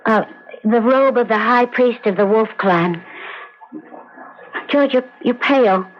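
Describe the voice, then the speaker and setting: A devoted, anxious sweetheart. Her voice is high, in old radio drama style, heard through a dull lo-fi broadcast. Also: takes it slowly, a little hiss or hum. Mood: tender, worried. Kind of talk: 1970s radio drama acting.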